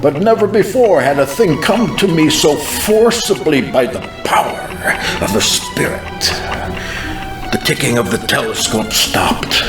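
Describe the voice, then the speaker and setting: A man playing a fervent American healing evangelist, solemnly and deliberately recounting a prophetic vision with dramatic emphasis. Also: a little high. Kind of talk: dramatized first-person testimony, scripted narration. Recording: roomy echo with music underneath.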